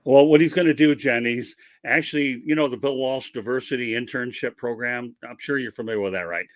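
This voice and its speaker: A man's voice: medium pitch, a little fast, dry recording. A man hosting a casual interview, talking at length in a chatty, rambling, promotional way over a video call. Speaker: interview host.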